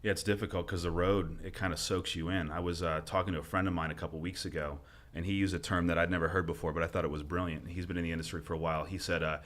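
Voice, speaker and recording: A low-voiced man hosts a podcast, talking quickly and casually. He rambles through long questions and easy asides. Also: fairly even intonation, a bit bright. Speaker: podcast host. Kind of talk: casual interview talk.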